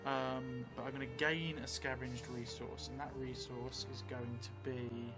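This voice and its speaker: Halting middle-aged man over music. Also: medium pitch, little pitch movement. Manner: halting, pausing between phrases while writing. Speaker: solo tabletop role-play streamer. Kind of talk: unscripted game narration, thinking aloud. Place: indoor room, seated at a table.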